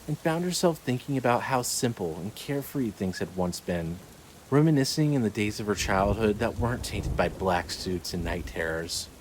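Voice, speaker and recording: A man reading scripted dramatic fiction as narrator, in a measured, descriptive storytelling manner. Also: medium pitch, slight background noise, poor audio.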